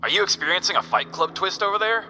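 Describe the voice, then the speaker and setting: A man voicing a brisk male police dispatcher answering a call. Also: high-pitched, pushed and bright, soft background music, pitched above the performer's own voice. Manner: clipped, fast official tone.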